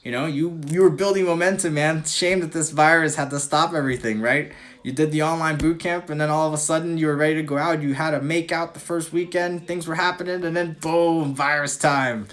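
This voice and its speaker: A man's voice: on the high side, fairly even intonation, fairly quick, a bit bright. A man hosting a live question-and-answer stream as a dating coach, reading out viewer comments in a loose, swaggering way, swearing and coughing now and then. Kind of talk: casual livestream chat reading viewer comments.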